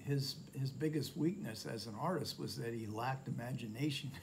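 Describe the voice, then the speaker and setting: An older man in his sixties talks through a film review from his living room, pausing often with fillers and restarting his sentences. His voice sits at a middle pitch. A faint room hiss sits under the webcam recording. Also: vocal fry. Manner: hesitant, many fillers and restarts. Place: home living room, indoors.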